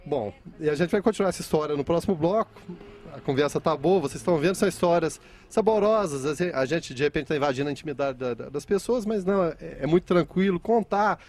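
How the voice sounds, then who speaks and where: A man with a Brazilian accent hosting a conversational interview, addressing viewers and often repeating words haltingly. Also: fairly high pitch, expressive, a little mellow, a little hiss or hum. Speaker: interviewer and host.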